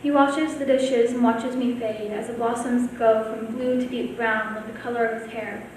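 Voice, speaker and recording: Highish teenage girl in a cavernous echo. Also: fairly even intonation, moderate pace, a little mellow. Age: teens. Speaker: high school student poet. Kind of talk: reciting own poem at a reading. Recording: very echoey room, distant mic, faint noise.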